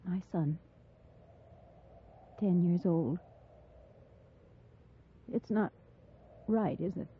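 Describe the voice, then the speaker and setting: A stoic frontier mother in her thirties, low and husky, speaking with halting, solemn restraint. She belongs to a 1950s radio western, with the muffled, narrow sound of an old broadcast. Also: heavily acted. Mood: grief-stricken. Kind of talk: 1950s radio drama acting.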